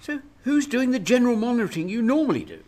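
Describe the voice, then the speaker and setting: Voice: mid-range voice, big pitch swings, quick pace, mellow. An actor playing an anxious, self-serving British Prime Minister in a radio drama. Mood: sceptical.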